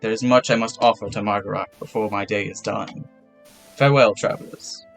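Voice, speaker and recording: A man voicing an old male priest who speaks slowly and formally. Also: lowish voice, steady tone, soft background music, natural voice. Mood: advising. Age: older. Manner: slow, formal, courteous.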